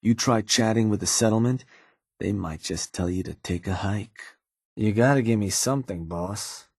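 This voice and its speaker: An actor playing a laid-back, businesslike raider fixer in a video game, with a husky voice and a casual, wry, streetwise manner. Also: male voice, on the low side, moderate pace, a little mellow, no echo, clean studio sound. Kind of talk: in-game character dialogue, conversational delivery.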